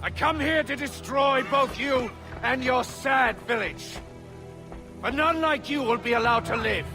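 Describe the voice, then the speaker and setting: A man's voice: extremely high pitch, unhurried, over music. An actor playing a tyrannical, megalomaniac king in a video game, declaiming with pompous menace and contempt. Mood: menacing contempt. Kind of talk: dramatic video game acting.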